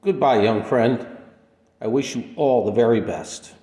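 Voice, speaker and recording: An actor playing a polite, soft-spoken older gentleman with a gentle, formal manner in a radio play. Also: medium pitch, measured pace, close to an everyday voice. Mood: polite, kindly. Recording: echoey room with long reverb.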